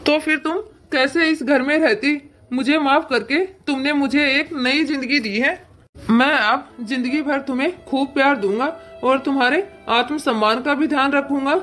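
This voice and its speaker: A woman plays an ailing husband in a cartoon moral story. The voice is high and plaintive, full of earnest regret, with faint music behind it. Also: moderate pace, fairly bright tone. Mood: apologetic, tender. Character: remorseful adult son and husband, ailing, pleading.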